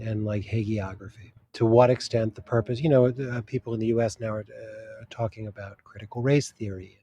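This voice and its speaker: A man's voice: on the low side, creaky, dark tone, slight background noise. A man, a translator, answering interview questions thoughtfully, often restarting his sentences as he searches for words. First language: English. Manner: thoughtful, halting, many restarts. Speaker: literary translator, guest.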